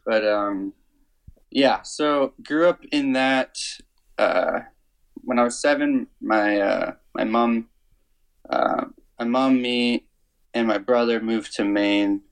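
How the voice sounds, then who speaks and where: A man in his twenties from Canada, a musician guest talking casually in an interview, low-key and hesitant, with many fillers and restarts. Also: takes it slowly, creaky, smooth, poor audio. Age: 20s.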